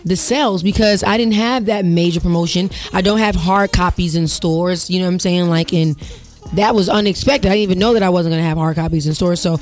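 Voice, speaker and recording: Fast-talking young woman with a deep voice. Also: faint music behind. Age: young adult. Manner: rapid, slangy and emphatic. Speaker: singer guest. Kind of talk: casual interview chat.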